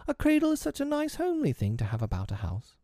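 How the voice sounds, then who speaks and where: A man puts on a prim young girl playing mother in a storybook tale. The pitch is lifted high and swings about, with a fussy, scolding tartness. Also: wildly swooping pitch, quick pace, dark tone, no echo, studio quality, heavily acted. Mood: tart. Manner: prim, scolding, slightly tart.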